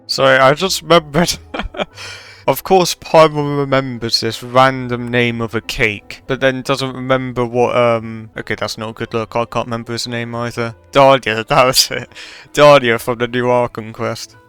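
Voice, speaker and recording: A man streaming a game, thinking out loud in a casual, conversational way. His voice is forward and exceptionally clean, recorded dry. Quiet music plays in the background. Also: mid-range voice, flat delivery, normal speed, clear, resonant tone, dry recording. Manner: musing aloud, conversational. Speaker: gaming streamer. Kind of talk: casual game playthrough commentary.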